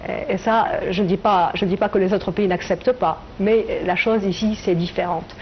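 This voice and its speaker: Middle-aged tunisian-born woman guest, slow thoughtful answers full of pauses, dull audio with a bit of hiss. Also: mid-range voice, steady tone. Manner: hesitant, reflective, many filler pauses. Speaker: writer and translator guest. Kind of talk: TV interview answers.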